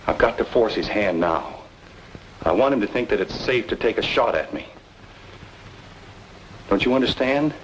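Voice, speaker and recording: A man's voice: on the low side, lively intonation, normal speed, pauses often, slight background noise, lightly acted, poor audio. An actor playing a cool, secretive noir man in an old-time radio drama, with wry, clipped, guarded delivery. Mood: tense, resolute.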